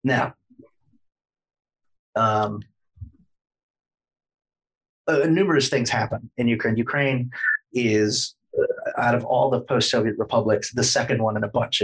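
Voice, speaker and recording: A man's voice: mid-range voice, slightly rough, low-quality recording. A man talking as a podcast guest, explaining history at length in a lecturing way, hedging often with plenty of fillers.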